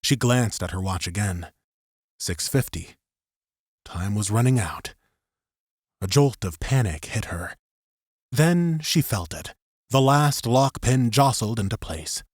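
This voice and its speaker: A man's voice: lowish voice, animated, slow pace, pauses often, slightly rough, no echo, clean studio sound. A man narrating a scripted third-person story as an audiobook narrator.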